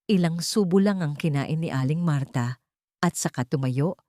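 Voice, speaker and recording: Woman narrating a drama, low and soft-toned. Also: normal speed, dry recording, clean studio sound. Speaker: storyteller. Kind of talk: scripted dramatic audiobook narration.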